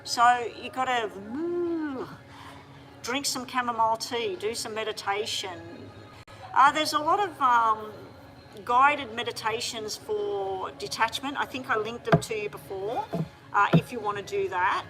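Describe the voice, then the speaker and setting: Bright-voiced woman reading tarot live. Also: a little high, soft background music, poor audio. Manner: chatty, hurried, talking to viewers directly. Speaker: tarot reader.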